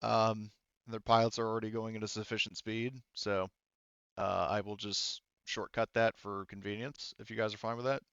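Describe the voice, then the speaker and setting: Dude game mastering, smooth voice, kinda muffled dry mic, thinks out loud and stops a lot. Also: male voice, lowish voice, flat delivery, no room sound. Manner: halting, thinking aloud while rolling dice. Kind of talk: casual tabletop game narration with rules talk.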